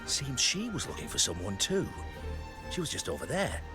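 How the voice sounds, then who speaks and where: An actor playing an unhurried, playfully musing man in a video game, speaking haltingly. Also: medium pitch, huge pitch swings, music in the background. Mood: musing, amused. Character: musing, unhurried man recalling a passing girl.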